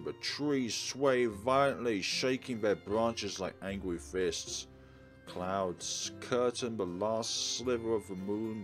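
A man hosting a let's play, reading a visual novel aloud expressively and adding casual commentary. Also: deep voice. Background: soft game music.

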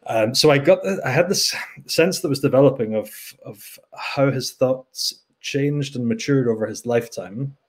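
Scottish guy, bit husky, explains stuff slowly w lots of um's, middle-aged. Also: medium pitch. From Scotland. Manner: thoughtful, explanatory, with frequent um and restarts. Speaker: guest theologian. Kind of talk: relaxed interview answers.

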